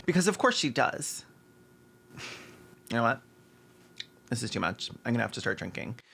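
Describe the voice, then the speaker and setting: A man's voice: mid-range voice, sing-song, moderate pace, vocal fry, over music. A man hosting a video essay with snarky, mocking, sometimes sweary scripted commentary and asides.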